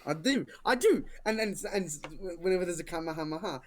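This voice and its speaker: A man co-hosting a podcast in casual chat, excitable, swearing freely and tripping over restarts. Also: high-pitched, brisk, a little hiss or hum. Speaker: podcast co-host, hobby voice actor.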